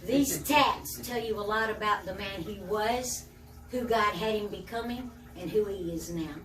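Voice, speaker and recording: A woman's voice: mid-range voice, a little slow. Admiring woman interviewer, echoey room. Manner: warm, admiring. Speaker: interview host. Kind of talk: casual interview. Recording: echoey room, distant mic.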